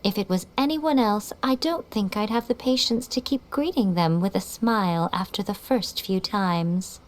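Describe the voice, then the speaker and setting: A woman voicing an elegant, condescending shrine familiar in a video game, sly and knowing, with a measured, teasing manner. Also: mid-range voice, lightly acted. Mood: haughty teasing. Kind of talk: video game character acting.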